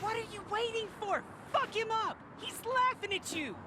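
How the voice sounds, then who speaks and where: A woman's voice: very high voice, moderate pace. A woman voicing a sullen, defiant school-age boy in a video game. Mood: goading, scared. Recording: loud street and vehicle noise behind.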